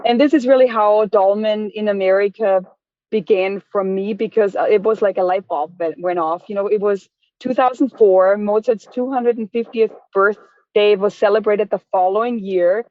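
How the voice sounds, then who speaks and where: A woman's voice: mid-range voice, moderate pace, dry recording. A woman, telling her story as an interview guest in a chatty way, full of restarts. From Austria. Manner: chatty, many restarts and fillers. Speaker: guest, chocolate business owner. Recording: dry tinny phone-like line.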